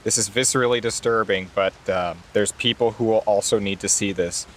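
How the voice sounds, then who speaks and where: A man's voice: on the low side, steady tone, brisk, a little hiss or hum. A man playing a tabletop role-playing game, improvising his actions and dialogue casually.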